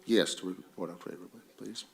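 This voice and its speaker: A man's voice: deep voice, fairly even intonation, fast, gravelly, darkish tone. A man chairing a committee, speaking in short, procedural meeting phrases. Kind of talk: brief procedural meeting remarks. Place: indoor public council meeting room.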